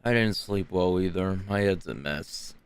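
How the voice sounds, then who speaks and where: A man's voice: deep voice, unhurried, background noise. A man casually playing through a video game, reading lines aloud and making laid-back, offhand remarks. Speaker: gaming video host.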